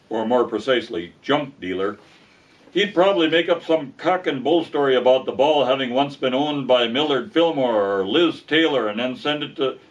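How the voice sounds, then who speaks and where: A man narrating a comic story aloud in a wry, folksy manner. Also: mid-range voice. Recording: dull lo-fi sound, distant from mic.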